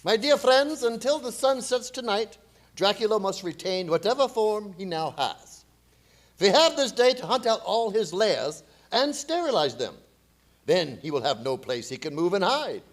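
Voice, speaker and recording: Man playing an urgent vampire-hunting professor, high-pitched. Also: big pitch swings. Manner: emphatic, commanding, dramatic pauses. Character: urgent, authoritative professor leading vampire hunters. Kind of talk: radio drama acting.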